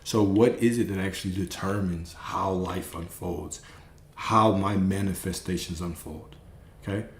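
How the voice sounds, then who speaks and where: A man's voice: deep voice, fairly even intonation, a little slow, vocal fry, echoey room, a little hiss or hum. A man hosting a live stream, giving an emphatic spiritual pep talk and repeating his key phrases.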